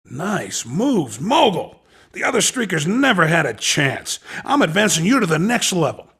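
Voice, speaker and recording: A man voicing a slick, pushy underground race recruiter in a video game, with a raspy voice and cocky, insinuating salesman patter. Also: fairly high pitch, very animated, moderate pace, fairly bright tone, heavily acted. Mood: excited approval. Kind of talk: video game character dialogue.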